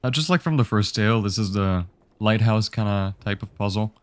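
A man's voice: lowish voice, a little fast, clean tone, dry recording. A young man giving a casual, easygoing walkthrough of a video game level, explaining each step. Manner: relaxed instructive, easygoing. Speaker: game guide host.

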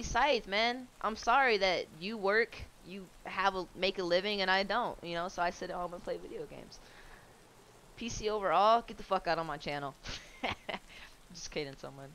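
A low-voiced young woman talks into a headset at home as she plays, relaxed and foul-mouthed, trading banter with her chat. Her voice comes through smooth and clear, at an ordinary middle pitch. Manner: laid-back, swearing, chatting with viewers, laughing often. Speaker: streamer. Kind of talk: casual chat while gaming.

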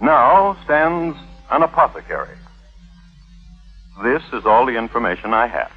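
An actor playing a droll, solemn crime historian, narrating a 1950s radio show with deliberate, dry delivery and theatrical pauses. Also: male voice, mid-range voice, very animated, breathy edge. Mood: wry, solemn. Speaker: host and narrator. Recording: muffled vintage radio recording, music behind.

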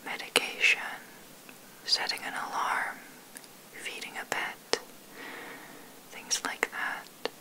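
A woman guiding an ASMR sleep meditation in a breathy whisper, soothing and gentle, unhurried with long pauses. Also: low-quality recording. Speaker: meditation guide, ASMR host.

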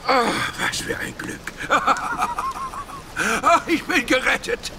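A man's voice: extremely high pitch, huge pitch swings, slow pace, vocal fry, noisy background, heavily acted. A man playing a dim-witted, whiny, bossy castaway adrift at sea in a children's audio drama. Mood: amazed, relieved.

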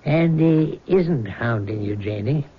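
An actor playing an authoritative male doctor in a vintage radio drama, measured, firm and reassuring. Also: medium pitch, animated. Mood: firmly reassuring. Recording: dull, noisy 1970s radio recording.

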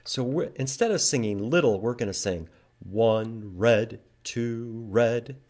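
A man in his fifties reading to young children as an animated library storytime host. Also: medium pitch, slow. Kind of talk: children's storytime read-aloud. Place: indoors in a library room.

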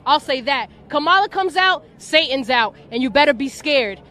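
A woman's voice: sits high, animated, moderate pace, sharp tone, some chatter behind. An American woman answering a street interview outdoors, emphatic and insistent, repeating herself for weight. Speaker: rally attendee being interviewed. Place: outdoors in a street by a rally queue.

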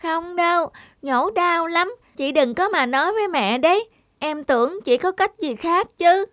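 A woman's voice: extremely high pitch, lively intonation, measured pace, a bit bright, no room sound, clean studio sound, exaggerated voice. A woman voicing a stubborn little girl in a cartoon, with a childish, whining delivery. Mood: whiny, pleading. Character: whiny stubborn little girl afraid of the dentist. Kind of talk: cartoon voice acting.

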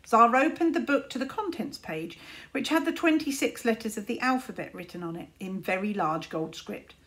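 A middle-aged woman narrating a children's story expressively, with deliberate dramatic emphasis. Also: fairly high pitch, animated, a bit bright. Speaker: teacher reading to pupils. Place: small room indoors.

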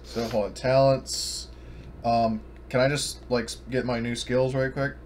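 A man's voice: medium pitch, little pitch movement, measured pace, slight background noise. A man chatting casually and swearing freely during an online tabletop roleplaying game session. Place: online voice call, indoors.

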